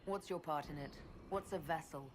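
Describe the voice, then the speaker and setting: An actress playing a resolute female leader in a fantasy video game, speaking in a measured, direct, diplomatic way. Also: low-pitched, background noise, close to an everyday voice. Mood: sober, questioning. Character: female Inquisition leader, composed, resolute young commander. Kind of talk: dramatic video game dialogue. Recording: loud background music.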